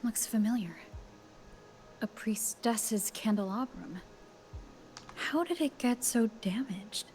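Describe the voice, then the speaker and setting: A woman playing a grave, observant adventurer in a video game, speaking in a measured, pensive way. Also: on the high side, a little mellow. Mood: curious. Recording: echoey hall with ambient noise.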